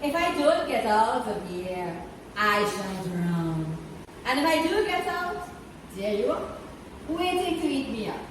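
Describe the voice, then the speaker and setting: A sly little storybook rat , acted out by a woman, pleads and wheedles as if drunk. The words are drawn out and swing high, heard in a very echoey room from a distance, with noise around. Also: sing-song. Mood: drunken pleading. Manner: slow, drawn-out, pretend-drunk speech. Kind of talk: storybook character voice.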